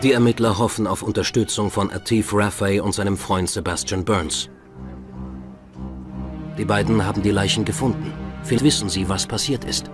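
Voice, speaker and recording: Man, low pitch, scripted true crime narration, documentary podcast, prominent background music. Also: normal speed. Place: voice-over with music behind.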